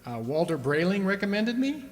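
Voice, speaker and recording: An awkward, henpecked husband played by a man in a radio drama. His highish voice hems and stammers evasively, in a long echoey hall. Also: sing-song, normal speed. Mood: hesitant. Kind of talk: radio play acting. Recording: echoey hall, stage-like reverb.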